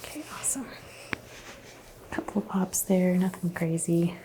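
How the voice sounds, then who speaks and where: A woman in a soft-spoken, often whispered ASMR roleplay as a chiropractor, giving gentle, reassuring instructions. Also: lowish voice, unhurried, noisy background. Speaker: ASMR host playing a chiropractor.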